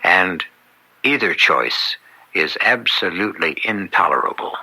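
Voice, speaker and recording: A man hosting a radio mystery drama, narrating theatrically in a deliberate, portentous manner. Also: low voice, expressive, gravelly, bright, forceful tone, breathy edge, rough recording. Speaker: host and narrator. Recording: vintage radio broadcast with music underneath. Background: music.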